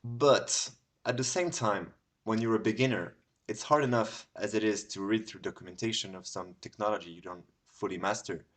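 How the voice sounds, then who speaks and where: A man from France with a French accent, giving a measured, explanatory recorded conference talk as a speaker. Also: fairly low pitch, a bit bright. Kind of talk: prerecorded tech talk with screen tour.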